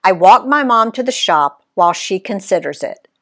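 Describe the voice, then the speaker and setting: A woman reading her own travel story aloud in an expressive read-aloud style, giving the quoted people voices of their own. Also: mid-range voice. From Alabama, USA. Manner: unhurried, lively reading that voices the quoted characters.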